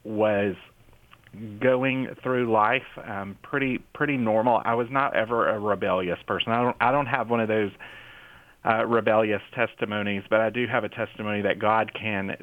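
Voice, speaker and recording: A man's voice: lowish voice, steady tone, no echo. Southern man on a tinny phone line. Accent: Southern US (Mississippi). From Mississippi, USA. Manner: halting, with frequent fillers and restarts. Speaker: children's pastor, guest. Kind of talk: casual interview talk.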